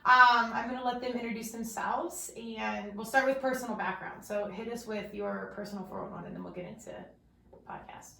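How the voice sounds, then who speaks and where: Female podcast host from Colorado, bubbly and chatty, higher voice in a roomy space. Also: steady tone, vocal fry, bright, forceful tone, a little hiss or hum. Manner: upbeat, chatty, quick to laugh. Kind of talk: casual interview chat. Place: room, face to face. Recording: roomy echo.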